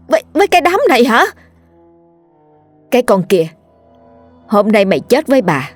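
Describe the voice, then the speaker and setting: A woman doing a shrill furious village wife. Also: pushed and bright, dry recording, full character voice. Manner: shrill screaming rage. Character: furious jealous village chief's wife, middle-aged woman.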